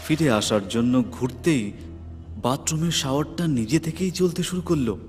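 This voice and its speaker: A man narrates scripted horror fiction. His voice sits at a medium pitch and his delivery is dramatic and suspenseful. Music plays clearly underneath the narration. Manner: suspenseful storytelling.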